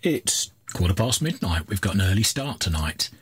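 Deep-voiced man chatting at his workbench. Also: sing-song, moderate pace, slightly rough. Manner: chatty, rambling with fillers and restarts. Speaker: electronics repair vlogger. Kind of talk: casual workbench vlog. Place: cluttered electronics workbench indoors.

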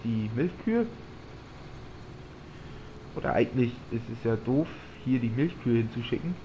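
A man's voice: medium pitch, expressive, a little slow, warm tone, poor audio. A man with a slight cold, commenting casually on his farming game and thinking aloud as he drives. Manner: rambling, thinking aloud with fillers. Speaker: gaming channel host. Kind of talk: casual let's-play commentary. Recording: audible background noise.

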